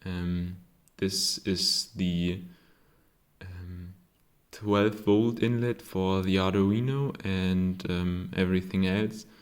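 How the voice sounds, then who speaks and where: A man's voice: low voice, steady tone, takes it slowly. A man from Germany with a German accent, a non-native speaker, casually showing his own circuit board, hesitant with many ums and self-corrections. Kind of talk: casual show-and-tell.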